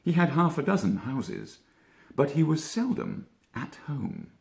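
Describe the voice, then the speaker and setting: A man reading a children's story as an actor would, with theatrical, expressive and deliberately dramatic phrasing. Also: a little high, creaky, echoey room.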